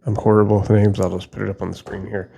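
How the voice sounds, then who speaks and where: Low, gravelly man, mellow and casual. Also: little pitch movement, fast talker. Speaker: video host. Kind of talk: casual off-the-cuff reaction commentary.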